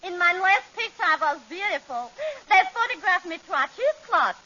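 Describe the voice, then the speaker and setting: Very high-pitched brash would-be starlet. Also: female voice, big pitch swings, fairly quick, bright, forceful tone, full character voice. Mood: brash, cocky. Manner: ungrammatical, cocky comic delivery. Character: homely, brash would-be actress auditioning for films. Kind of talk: 1940s radio comedy acting. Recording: muffled, noisy 1940s radio broadcast.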